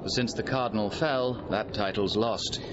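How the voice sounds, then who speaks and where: An actor playing a knowing, courteous gentleman in a Shakespearean audio drama. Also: lowish voice, normal speed, natural voice. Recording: dull audio with background noise.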